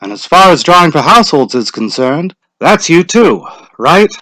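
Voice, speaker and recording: A man voicing a brisk, formal village official who directs a ceremony in a dramatic story reading. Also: a little high, sing-song, sharp tone, no room sound, voice pushed up, low-quality recording.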